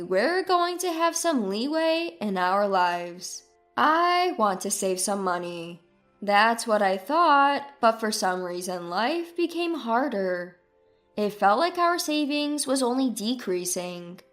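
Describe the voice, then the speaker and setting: A woman reading a scripted first-person story as its narrator. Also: a little high.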